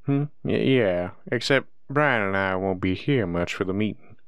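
Man playing a playful, smooth low-voiced werewolf. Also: moderate pace, no room sound. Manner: playful, teasing. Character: joking, easygoing younger-brother male werewolf. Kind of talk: roleplay audio drama acting.

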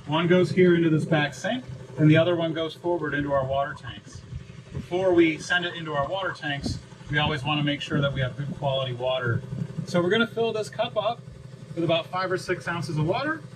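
A man's voice: fairly high pitch, a little fast, distant mic, background noise. A man in his thirties walking through a repair step by step while working in a cramped sailboat cabin, recorded on a nearby action camera. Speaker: boat owner showing a repair. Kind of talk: hands-on how-to walkthrough.

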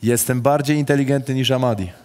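A man hosting a rapid question round, reading statements aloud with a Polish accent and casually swearing. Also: mid-range voice, fairly even intonation, darkish tone.

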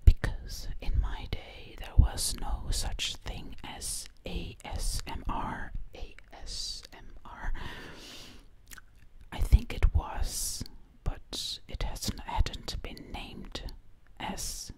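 A young woman whispering breathily and close to the mic in an ASMR roleplay ramble, hushed and repeating words and phrases rhythmically. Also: extremely slow. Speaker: ASMR performer playing a time-travelling zombie princess. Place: dim home room, indoors. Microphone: close ear-to-ear microphone.

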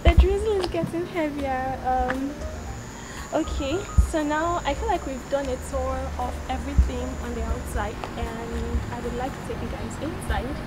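High-voiced young woman touring outdoors. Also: normal speed, loud music behind the voice, low-quality recording. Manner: chatty, laughs often, friendly advice to viewers. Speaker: vlogger giving a campus tour. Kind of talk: casual walking tour talk. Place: outdoors on a street and campus grounds.

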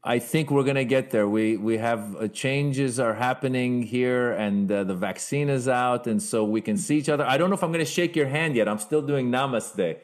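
A man's voice: mid-range voice, normal speed, few pauses. Easygoing man hosting a video call. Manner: loose, warm teasing, stop-start. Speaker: event host. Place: indoor home room. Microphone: webcam on video call.

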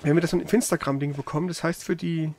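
Male let's play streamer, high voice with gravelly creak, slangy casual commentary over music. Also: fairly even intonation, very fast, darkish tone, slight background noise. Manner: colloquial, slangy, mild swearing. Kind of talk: casual gameplay commentary and chat.

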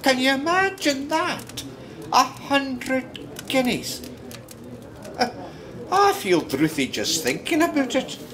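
A droll Scottish local spinning a tale for radio drama. He is chatty and theatrical, his pitch swooping widely at an unhurried pace, with crowd murmur behind him. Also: sing-song, full character voice. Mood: droll, confiding. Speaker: narrator.

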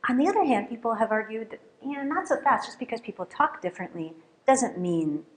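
A middle-aged woman, a native Russian speaker, giving a conference keynote at a lectern in a lecture hall, lively and often checking in with "right?". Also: fairly high pitch, brisk. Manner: lively, questioning, with frequent "right?" and fillers. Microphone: lectern microphone.

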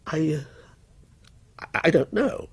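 Man playing an agitated, high-pitched frightened writer. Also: moderate pace, slightly rough. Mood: faltering. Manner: stammering, agitated. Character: frightened male writer, desperate, finally defiant.

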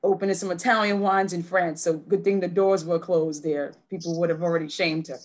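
A woman's voice: lowish voice, fairly even intonation, brisk. Woman in her 30s hosting a wine tasting on a video call, chatty, laughs a lot. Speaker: host of a virtual wine tasting. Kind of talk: casual video call chat. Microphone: laptop video call.

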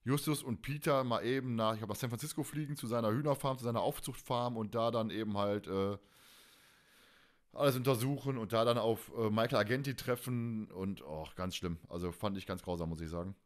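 A man's voice: lowish voice, a little fast. A man hosts a fan podcast in a casual, chatty way. His speech is colloquial and emphatic, and he tends to ramble as he goes. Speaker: podcast host.

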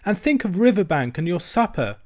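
A man voicing a small, timid, homesick male mole who sobs and pleads in a high, broken voice. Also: very animated, fairly quick. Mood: pleading.

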